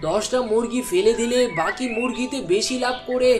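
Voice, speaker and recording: A woman plays a male market trader in a village cartoon, with a somewhat high voice. He grumbles chattily about trade. There is quiet music underneath. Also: moderate pace, close to an everyday voice. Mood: complaining. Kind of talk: cartoon dialogue voice acting. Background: background music.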